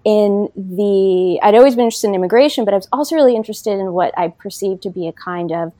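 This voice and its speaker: A woman political science professor being interviewed about her book, speaking thoughtfully with many pauses and fillers. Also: medium pitch, warm tone, no room sound, clean studio sound. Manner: thoughtful, many ums and you knows. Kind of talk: academic interview.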